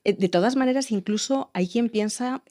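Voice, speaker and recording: A woman's voice: fairly high pitch, normal speed, dark tone. A woman from Spain explaining child bilingualism in an interview, thoughtful and hesitant with restarts. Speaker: education expert guest.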